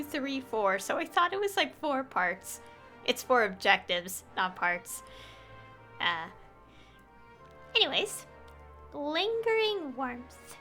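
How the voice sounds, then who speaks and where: A woman's voice: extremely high pitch, bright, forceful tone. A woman streamer casually chatting and giggling through gameplay, with hesitant fillers. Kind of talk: casual gameplay commentary and reading dialogue. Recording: streamed with game music faintly behind.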